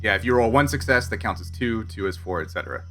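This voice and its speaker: A man narrating a tabletop sci-fi game as game master, halting and correcting himself as he explains. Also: on the low side, expressive, a bit bright. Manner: halting, self-correcting delivery.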